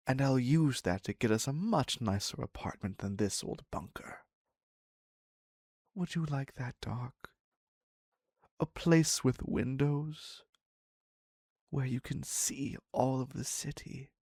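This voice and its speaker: Guy doing an obsessive clingy captor for dark romance audio, super slow and halting, whispery, close dry sound. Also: medium pitch, no echo, heavily acted. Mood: earnest, devoted. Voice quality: breathy, husky, often dropping to a whisper. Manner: halting, pleading, very slow with long pauses. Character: obsessive, needy male captor, former patient devoted to his captive doctor.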